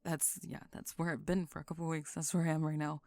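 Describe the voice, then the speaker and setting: A woman voicing a guarded, dry-witted goth high school girl in an ASMR roleplay, terse, reluctant and deadpan. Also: quick pace, no room sound. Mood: reluctant, vulnerable. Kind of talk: ASMR roleplay audio drama.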